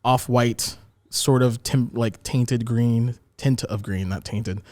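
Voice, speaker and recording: Man, chef guest, casual cooking chat, jokey and rambling, middle pitch, dry close sound. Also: steady tone, takes it slowly, a little mellow, no room sound. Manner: joking, rambling explanations with fillers.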